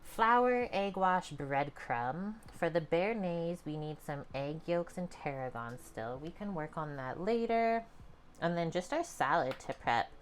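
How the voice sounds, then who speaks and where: A young woman with a calm, chatty manner, walking viewers through a home cooking session in her kitchen. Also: low voice, a little hiss or hum. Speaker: cooking streamer. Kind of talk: casual cooking demonstration.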